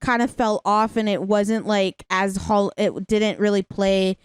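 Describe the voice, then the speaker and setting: A woman's voice: medium pitch, fairly even intonation, unhurried, clear, resonant tone, dry recording. A young woman co-hosting a podcast, chatting casually in an informal, exclamatory, agreeable way. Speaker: podcast co-host. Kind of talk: casual review chat.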